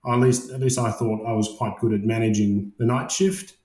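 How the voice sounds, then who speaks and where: A man's voice: on the low side, steady tone, moderate pace, darkish tone, echoey room. A man in his late thirties from Iran, raised in Australia, talking as a guest in a casual interview and often hesitating with fillers and restarts. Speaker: guest, construction project manager.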